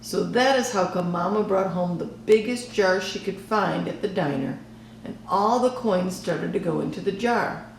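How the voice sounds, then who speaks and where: A woman reading a children's picture book aloud in a deliberate, expressive storytelling style. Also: on the low side, creaky, reverberant, slight background noise, rough recording. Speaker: storybook reader.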